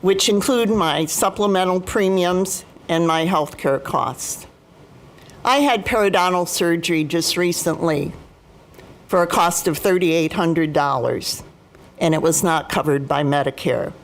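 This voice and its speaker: An American woman in her seventies giving prepared personal testimony as a speaker, in a formal, deliberate and emphatic manner. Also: on the low side, slight background noise. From United States, Midwest. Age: 70s. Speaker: union retiree chapter president, guest speaker.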